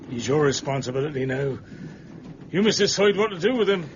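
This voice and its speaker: An actor playing a dying, regretful ship's captain in anguished, halting period drama speech. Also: male voice, a little high, expressive, lightly acted. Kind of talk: period radio drama acting. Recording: dull, noisy drama recording.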